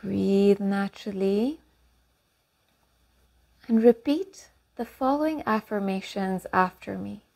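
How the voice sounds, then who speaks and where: Woman, meditation guide, slow pace, mid-range pitch, calm instruction, long pauses, affirmations. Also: gravelly.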